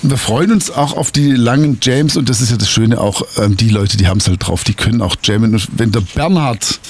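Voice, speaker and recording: A man hosting a local radio show, chatting casually and adding relaxed asides about the bands. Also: medium pitch, fairly quick. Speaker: radio host.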